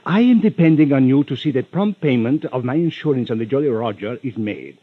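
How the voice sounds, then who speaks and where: Male actor as wheedling wealthy villain, florid courtesy, lively swooping intonation, hesitant asides, vintage radio acting, narrow muffled sound. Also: mid-range voice, a little fast, no echo, close to an everyday voice. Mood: smug, insinuating. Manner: florid, over-polite, stammering hesitations, chuckling. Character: suave, wheedling rich villain, unctuous and veiled in menace. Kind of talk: 1940s-50s radio drama acting. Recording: muffled old radio recording.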